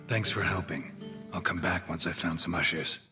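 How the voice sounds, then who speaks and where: An actor playing a calm monster hunter for hire in a video game, terse and deadpan in his delivery. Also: deep voice, moderate pace, natural voice. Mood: matter-of-fact. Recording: music and crowd behind the voice. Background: music, crowd.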